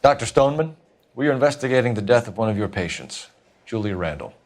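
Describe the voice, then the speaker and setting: An actor with an American accent playing a brisk, courteous, probing police detective in a naturalistic video game style. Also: male voice, mid-range voice, expressive, natural voice.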